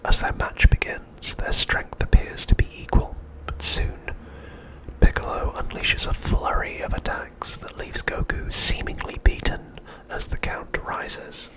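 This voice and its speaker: A man narrating a scripted recap for ASMR in a breathy, unvoiced whisper, with a hushed, measured delivery. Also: rough recording. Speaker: ASMR host.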